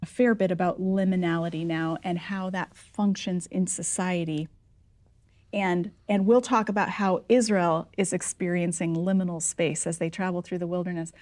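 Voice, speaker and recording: A woman's voice: lowish voice, fairly even intonation, normal speed, darkish tone, no echo. A woman leading a church class discussion, asking questions and affirming answers in a casual way. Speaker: class leader.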